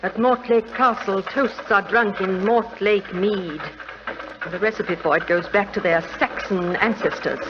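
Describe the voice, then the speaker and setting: A woman's voice: medium pitch, measured pace, lightly acted. An actress playing a frank, wry, middle-aged titled lady engaged to be married, in 1940s radio drama style. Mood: wry, chatty. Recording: noisy, muffled 1940s radio recording.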